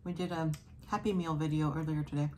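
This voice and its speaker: A woman in her thirties with a fairly low voice, talking gently and casually as she tastes food at her kitchen table. The camera sits in front of her, and a faint hiss of room noise stays under her voice. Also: quick pace. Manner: soft, relaxed, savoring food between remarks. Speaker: food vlogger. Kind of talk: casual mukbang chat. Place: small indoor room at a table.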